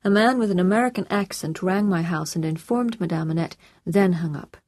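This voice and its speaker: Woman playing a composed, courteous man, slightly husky. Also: fairly low pitch, no echo, clean studio sound, close to an everyday voice. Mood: controlled, irritated. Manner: calm, polite, measured.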